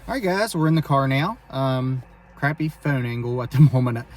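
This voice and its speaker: A man casually explaining and demonstrating amateur radio to a phone camera, speaking in a hesitant, halting way. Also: mid-range voice, animated, a little mellow. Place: inside a car for the later part. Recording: clearly noisy phone video recording.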